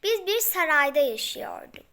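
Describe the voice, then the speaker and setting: A young girl voicing a rescued storybook princess who earnestly and haltingly recounts her frightening past. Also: sits high, big pitch swings, sharp tone, heavily acted. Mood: wistful recounting. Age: child.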